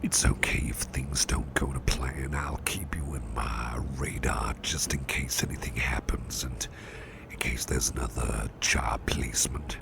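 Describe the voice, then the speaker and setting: A courteous, soothing businessman boss, acted out by a man in an ASMR roleplay. His voice is extremely low and full of heavy fry, hushed almost to a whisper. He takes his time, gently repeating polite phrases, with noticeable noise behind him. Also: steady tone, unhurried, full character voice. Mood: polite, reassuring. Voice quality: very deep, gravelly, breathy near-whisper. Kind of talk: soft-spoken ASMR roleplay.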